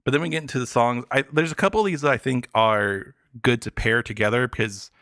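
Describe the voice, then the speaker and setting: A man chatting casually about the arts on a podcast, explaining things in a halting way with lots of filler words. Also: mid-range voice, fairly bright tone, dry recording, clean studio sound. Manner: hesitant, filler-heavy explaining. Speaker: podcast guest reviewing pop albums. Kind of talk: casual arts talk chat.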